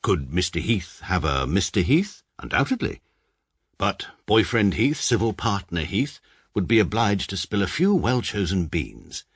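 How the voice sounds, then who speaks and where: A dry, pedantic young barrister narrating his own story in a comic radio play. His voice is very low and a little husky, and his deadpan, precise delivery moves across a wide pitch range. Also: no room sound, exaggerated voice. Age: young adult. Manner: deadpan, precise, self-mocking.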